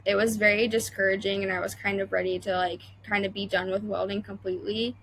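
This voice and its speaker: A woman's voice: medium pitch, little pitch movement, fast talker, fairly bright tone, slight background noise, low-quality recording. A young adult woman welder talking as a podcast guest, chatty and full of fillers and restarts. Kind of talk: casual interview chat.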